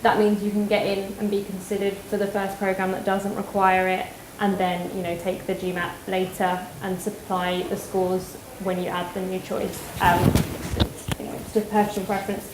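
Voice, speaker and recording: A woman's voice: mid-range voice, completely flat delivery. A woman from admissions answers questions from the floor at a university event, explaining each step plainly. Her voice stays fairly level. The sound is roomy, with faint noise behind it. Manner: clear, explanatory, brisk. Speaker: admissions staff.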